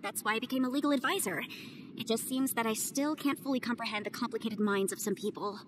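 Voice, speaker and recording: A woman voicing an earnest, gentle young female legal advisor in a video game. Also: fairly high pitch, fast, a little hiss or hum, lightly acted.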